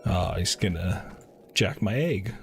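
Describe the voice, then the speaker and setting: A man's voice: low-pitched, animated, slow pace, music in the background. A man doing casual gameplay commentary as he streams a video game. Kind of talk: casual gameplay commentary, reading quest dialogue aloud. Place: indoors, live stream.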